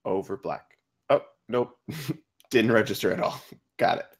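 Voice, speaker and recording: A man acting as narrator at a table read, deliberately announcing screenplay text and dropping into casual asides. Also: lowish voice, slightly rough. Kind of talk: reading screenplay directions aloud, with casual asides.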